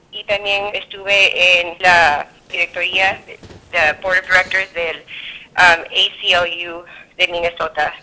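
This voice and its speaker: A woman in her thirties from Peru, a judge answering interview questions in a halting way, with many fillers and self-corrections. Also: fairly low pitch, sharp tone, low-quality recording.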